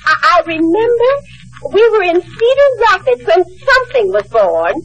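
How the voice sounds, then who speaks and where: A woman's voice: extremely high pitch, huge pitch swings, moderate pace, muffled recording, full character voice. A woman playing a scatterbrained, forgetful elderly mother with a dithering, sing-song manner in 1940s radio comedy. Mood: muddled. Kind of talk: 1940s radio sitcom acting. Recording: noisy old radio recording, loud studio audience.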